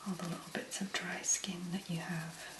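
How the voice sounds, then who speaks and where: A woman doing soft-spoken ASMR make-up commentary in a breathy, mostly whispered voice, musing gently as she works. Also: lowish voice, level tone, echoey room, background noise. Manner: slow, gentle, unhurried musing. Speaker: ASMR video creator.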